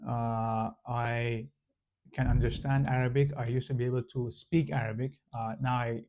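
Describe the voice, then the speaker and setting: A man from Iran with a Persian accent, a native Farsi speaker, casually explaining to the camera with many pauses, fillers and restarts. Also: mid-range voice, flat delivery, a little mellow, dull audio, slight background noise. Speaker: channel host.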